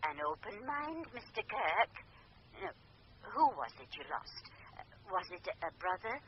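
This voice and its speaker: A woman acting in a radio drama as a gushing, mysterious spirit medium, breathless and halting, with fussing endearments. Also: mid-range voice, big pitch swings, husky. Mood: mystical, intense. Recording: dull, noisy old radio drama recording.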